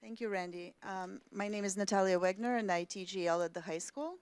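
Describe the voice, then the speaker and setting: A woman who teaches English learners at a high school, introducing students in a soft-spoken way. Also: brisk, smooth, no room sound.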